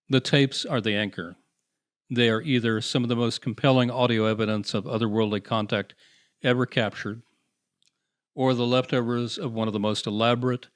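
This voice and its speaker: A man delivering scripted paranormal mystery narration with a dramatic, deliberate build-up. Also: mid-range voice, no echo, studio quality. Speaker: narrator, host.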